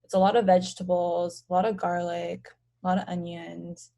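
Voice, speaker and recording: A young woman, a pharmacy student from the United States, explains home cooking in a casual, soft-spoken interview manner with frequent fillers. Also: fairly low pitch, flat delivery, normal speed, gravelly. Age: young adult.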